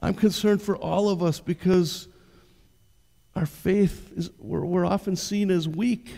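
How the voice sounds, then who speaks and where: A man's voice: high-pitched, steady tone, unhurried, creaky, mellow. An older man, a pastor preaching conversationally from a church stage and putting direct questions to the congregation. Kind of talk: sermon. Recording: long reverb of a church hall.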